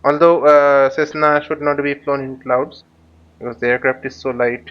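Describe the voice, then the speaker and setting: A man giving live tutorial commentary as he flies a simulator, speaking haltingly and often repeating or rephrasing himself. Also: medium pitch, flat delivery, muffled recording. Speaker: flight simulator instructor and channel host.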